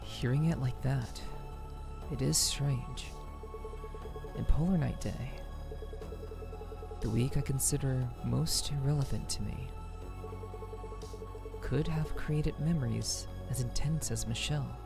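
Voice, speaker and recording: A woman voicing a reserved, weary woman from a visual novel in a measured, subdued, formal manner. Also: very deep voice, gravelly, exaggerated voice. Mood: pensive. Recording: music behind the voice.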